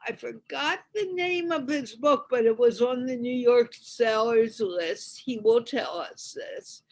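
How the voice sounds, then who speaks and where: A woman hosting a casual live online interview with a halting delivery. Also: a little high. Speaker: host of online interview show.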